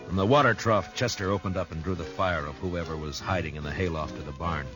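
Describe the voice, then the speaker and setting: An actor playing a calm, steady frontier marshal who also narrates, in a 1950s radio drama. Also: male voice, deep voice, lightly acted. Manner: calm, firm, measured. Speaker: narrator and lead. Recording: old radio broadcast with music bed.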